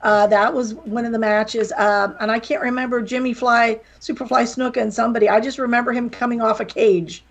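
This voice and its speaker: Gravelly-voiced woman with slight Southern accent. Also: on the high side, steady tone, a little fast, hardly any pauses. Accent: slight Southern American. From West Virginia, USA. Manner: candid, rambling, jokey. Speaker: guest, wrestling promoter and author. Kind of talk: casual podcast interview.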